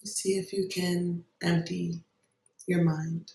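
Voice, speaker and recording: A meditation guide giving gentle, unhurried instructions with long pauses between phrases. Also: medium pitch, little pitch movement, gravelly. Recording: roomy echo, distant microphone.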